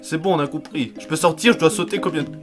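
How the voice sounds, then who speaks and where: Mocking young Frenchman over loud game music. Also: fairly high pitch, fast. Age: young adult. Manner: mocking, irritated, crude. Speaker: let's play host. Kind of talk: casual gameplay commentary. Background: video game music.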